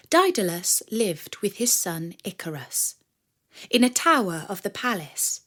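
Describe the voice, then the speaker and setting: A woman reading a scripted story for children in a measured, expressive storyteller's manner. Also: mid-range voice. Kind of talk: scripted narration of a myth for children.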